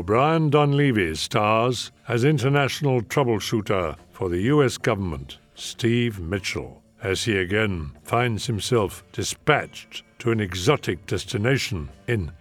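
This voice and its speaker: Slow, lower-pitched male radio host. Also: expressive. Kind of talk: scripted hosting of classic radio programmes. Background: faint music.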